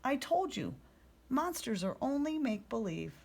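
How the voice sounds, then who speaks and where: A woman's voice: sits high, sing-song, normal speed, vocal fry, darkish tone, husky, pitched above the performer's own voice, exaggerated voice. A woman voicing a firm, dismissive mother who scolds her young son in a children's storybook.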